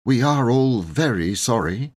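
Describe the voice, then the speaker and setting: A man's voice: mid-range voice, lively intonation, measured pace, voice pushed up, lightly acted. A man voicing an earnest, anxious but brave young boy making a pleading confession in a storybook reading. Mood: apologetic.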